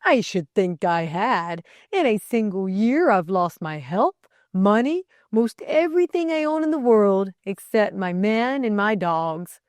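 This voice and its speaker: A woman playing a pompous, theatrical gentleman. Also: medium pitch, very animated, measured pace, few pauses, no echo. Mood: self-pitying. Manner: pompous, declamatory, bantering. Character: imperious, teasing, theatrical gentleman master of the house.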